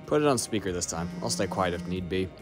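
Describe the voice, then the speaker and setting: A man's voice: low-pitched, animated, quick pace. A man playing a wry, secretive mercenary in an audio roleplay, with a dry, laid-back, teasing way of talking. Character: wry, guarded male mercenary, secretive old friend. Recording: music playing behind the voice.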